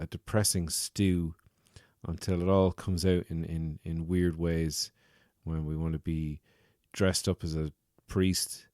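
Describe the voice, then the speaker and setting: A low-voiced man hosts his own comedy podcast, wandering from point to point with wry, self-mocking asides. His deep voice comes across dry and close, with no room sound. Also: darkish tone, no echo. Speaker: podcast host. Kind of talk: rambling solo comedy podcast monologue.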